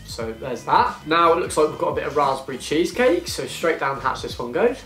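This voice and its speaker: A man's voice: a little high, sing-song, fairly quick, a bit bright, roomy sound, faint music behind. A young man in his twenties from England, chatting casually in a self-deprecating, rambling way while eating through a vlog Q and A. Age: 20s. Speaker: YouTuber.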